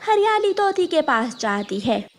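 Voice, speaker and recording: A woman storyteller with a high voice and very animated melody. She narrates a scripted cartoon tale for children. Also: unhurried, slight background noise.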